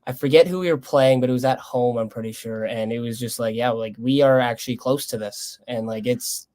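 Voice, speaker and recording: A man's voice: medium pitch, a little fast, a little mellow. Young Canadian man, earnest and conversational. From Lethbridge, Canada. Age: young adult. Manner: earnest, loose, conversational phrasing. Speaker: college baseball player being interviewed. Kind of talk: casual interview answers.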